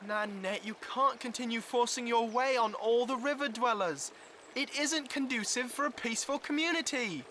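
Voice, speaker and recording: A man's voice: extremely high pitch, normal speed, bright, forceful tone, slight background noise. A man playing an earnest, polite and kind-hearted young marten in an animal audio drama. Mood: reproving.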